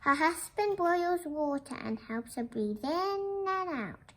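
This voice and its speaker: High-voiced child reading a storybook aloud. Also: slow. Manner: expressive, sing-song repeated greetings, addresses young listeners. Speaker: child presenter reading picture books aloud. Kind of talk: storybook read-aloud with chatty asides.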